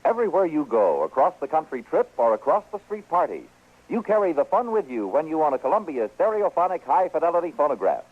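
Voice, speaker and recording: A man with an American accent reading a polished sales pitch as an announcer in a vintage radio advertisement. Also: big pitch swings, quick pace, slightly rough. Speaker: commercial announcer. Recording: tinny vintage radio recording.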